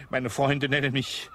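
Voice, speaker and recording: An actor playing a pompous, gallant old retired colonel in a radio play, prone to indignant outbursts. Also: male voice, medium pitch, fairly bright tone. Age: older. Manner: pompous, gallant, grows heated.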